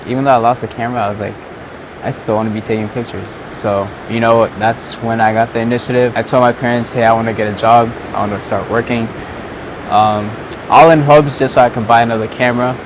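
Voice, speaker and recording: Young man, casual vlog chat, rambling, mid-pitched, outdoors, clear traffic noise. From Southern California. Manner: loose and rambling, many "like"s. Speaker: vlogger and photographer. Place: outdoors at sunset.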